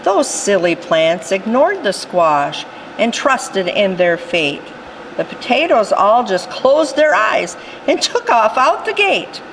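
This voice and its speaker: A woman reading a rhyming picture book aloud in an expressive storytelling style. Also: mid-range voice, takes it slowly, a little hiss or hum, low-quality recording. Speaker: storytime reader.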